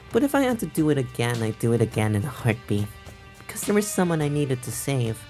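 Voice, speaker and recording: A woman playing a sly, cocky turncoat schemer in a visual novel game, with smug, teasing, drawn-out delivery. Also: low voice, dark tone.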